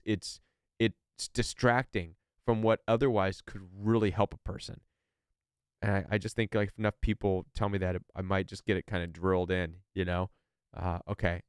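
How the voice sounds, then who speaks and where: Male live-stream host, loose rambling talker, slightly low resonant voice. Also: clean tone, no echo, studio quality. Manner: rambling, with frequent restarts and stammered repeats; reads viewer comments aloud. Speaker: podcast host.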